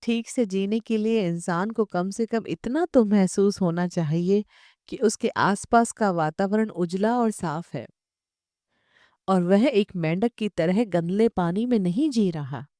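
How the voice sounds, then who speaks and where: A woman narrator plays a restless, unmarried office worker worn down by routine. She speaks slowly and earnestly, brooding over her discontent, in a close, dry storybook recording. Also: medium pitch, dark tone, dry recording, natural voice. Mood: weary, discontented.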